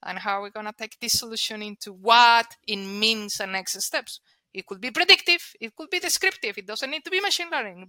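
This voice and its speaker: A woman's voice: medium pitch, bright, forceful tone, no room sound. A woman from Colombia whose first language is Spanish, speaking English with a Colombian accent as an interview guest. She talks emphatically and often repeats words as she restarts. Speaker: guest, data and analytics leader. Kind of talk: conversational interview.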